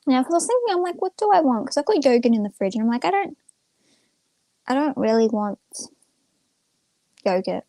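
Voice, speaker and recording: A woman's voice: high-pitched, expressive, a little fast, long pauses, dark tone, no echo, clean studio sound. A young woman chatting casually to viewers on a livestream in a rambling, informal, self-effacing way. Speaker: live streamer.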